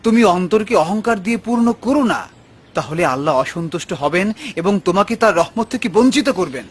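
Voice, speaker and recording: Husky, high-voiced pious friend. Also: male voice, lively intonation, normal speed. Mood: earnest, admonishing. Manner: earnest, admonishing. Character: pious, humble, content poor man, earnest friend. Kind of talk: animated moral story dialogue.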